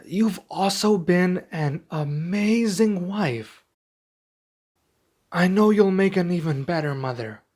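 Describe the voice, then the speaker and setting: A man playing a young king and doting expectant father in an intimate ASMR roleplay, speaking softly and affectionately with some hesitation. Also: sits high, expressive, slow, heavily acted. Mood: awed, grateful. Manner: soft, affectionate, hesitant delivery.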